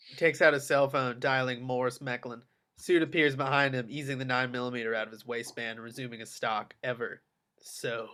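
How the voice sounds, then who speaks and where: A man's voice: medium pitch, sharp tone. A man narrating a screenplay's action descriptions at a table read. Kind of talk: screenplay stage-direction reading.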